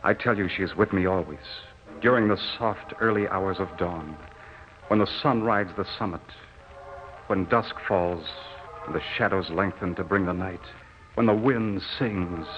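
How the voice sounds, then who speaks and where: An actor playing a grieving, devoted young war veteran, solemn and poetic, in an old radio drama. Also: male voice, low-pitched, measured pace, soft background music. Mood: solemn, impassioned. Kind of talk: 1940s radio drama acting. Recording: muffled old radio recording.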